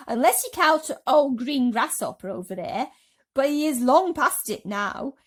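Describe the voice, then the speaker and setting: A woman's voice: high-pitched, lively intonation, unhurried, voice pushed up. A woman voicing a boastful, gleeful male centipede rascal in a storybook reading.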